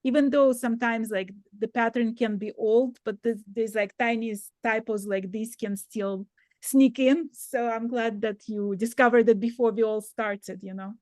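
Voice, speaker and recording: A woman's voice: on the high side, fairly even intonation, normal speed, a little mellow, no echo. A woman hosting a knitting video call, chatting casually and peppering her talk with fillers. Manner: friendly, fillers like "like". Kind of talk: casual chat.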